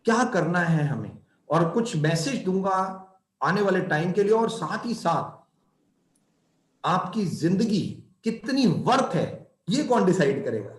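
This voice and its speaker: A man's voice: high-pitched, very animated, takes it slowly. Earnest man in an echoey room. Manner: earnest, emphatic, repeats phrases for stress. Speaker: company executive speaking to distributors. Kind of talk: motivational talk.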